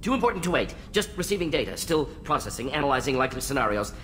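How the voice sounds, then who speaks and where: An actor playing an intense alien scientist in a video game, speaking in brisk, clipped, analytical fragments. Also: male voice, sing-song, fairly bright tone. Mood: urgent, rapid. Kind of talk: video game character acting. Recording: clear low rumbling background noise.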